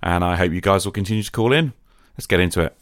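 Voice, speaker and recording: A man hosts a podcast, talking directly to his listeners. His voice sits low, and the recording is dry and close, with very little room in it. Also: fast, dry recording. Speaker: podcast host.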